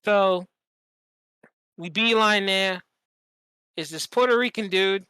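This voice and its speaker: This podcast host has a bright, clear voice in a very dry recording. The talk is casual and animated storytelling, full of slang and swearing, with quoted lines acted out in conversation. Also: mid-range voice, takes it slowly, dry recording. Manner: animated, profane, slangy, acts out quoted dialogue.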